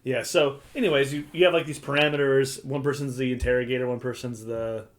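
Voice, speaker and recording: A man talks very quickly in an easygoing podcast conversation, with a middling pitch. His explanations are loose and informal, peppered with filler as he works through them. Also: steady tone. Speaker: podcast co-host. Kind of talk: casual chat.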